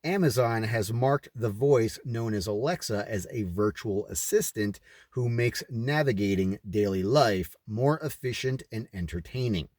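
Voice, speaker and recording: A man's voice: lowish voice, a little slow, a little mellow, no room sound, clean studio sound. A man reading aloud and adding his own off-the-cuff commentary, with emphatic, rhetorical delivery. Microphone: recording himself on camera.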